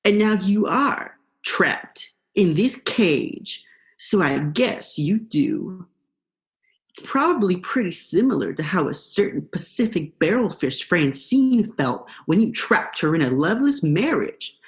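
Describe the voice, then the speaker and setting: A woman's voice: lowish voice, sing-song, slow pace, exaggerated voice. A young woman in a student radio play, playing a laid-back, vengeful male eel with a casual, slangy drawl. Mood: sardonic, menacing. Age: young adult.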